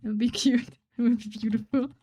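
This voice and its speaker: A woman streamer chatting casually while drawing, rambling and self-deprecating, often trailing off into quiet mumbles; German is her first language. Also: fairly high pitch, fairly even intonation, fast, husky.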